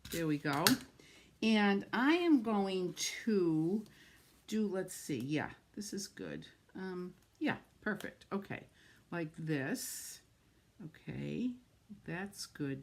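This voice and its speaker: A woman's voice: lowish voice, a little hiss or hum. A middle-aged woman with a New England accent, chatting and gushing as she demonstrates card making on a live stream and greets viewers by name.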